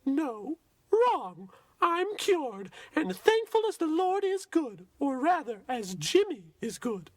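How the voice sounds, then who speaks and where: Extremely high-pitched old nun, gleeful, effusive, swooping, radio comedy. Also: female voice, big pitch swings, slow pace, no room sound, heavily acted. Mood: gushing praise. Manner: chirpy, effusive, folksy. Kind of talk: parody old-time radio play acting.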